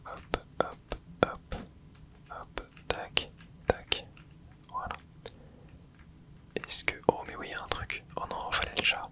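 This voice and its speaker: A man's voice: takes it slowly, pauses often. A man whispering casual commentary as he plays a video game for an ASMR channel, dropping small interjections and explaining the game to his viewers. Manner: soft whispering with little interjections while playing. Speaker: ASMR gaming channel host.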